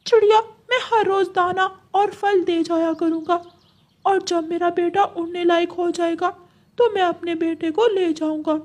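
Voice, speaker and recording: A woman's voice: very high voice, steady tone, unhurried, a little mellow, pitched above the performer's own voice. A woman voicing a devoted young father parrot with a pleading, plaintive manner in a children's cartoon story.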